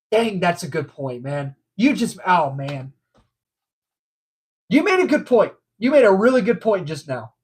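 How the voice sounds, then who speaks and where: A man's voice: high-pitched, breathy edge. A man hosting a casual movie news livestream, stuffed up from allergies, rambling and repeating himself with frequent ums.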